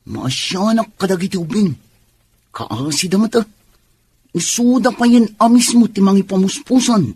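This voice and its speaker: A man playing a chatty, forthright, soft-hearted landlord and neighbour in a radio drama, with a husky voice. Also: high-pitched, normal speed. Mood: sympathetic, scheming.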